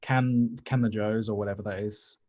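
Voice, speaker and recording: A man's voice: fairly low pitch, steady tone, normal speed, crowd noise. A man chatting casually as a player in a tabletop roleplaying game, on a lo-fi recording. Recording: dull lo-fi voice recording.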